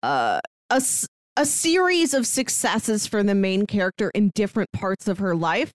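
A woman's voice: mid-range voice, sing-song, moderate pace, dry recording, clean studio sound. A woman co-hosting a podcast, giving her take in a casual film review chat. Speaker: podcast co-host.

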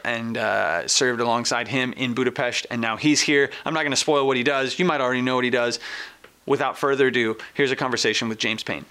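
An American man hosts in a bright, forward voice. He presents and interviews conversationally, with an informal, enthusiastic manner and a middle-range pitch. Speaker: show host.

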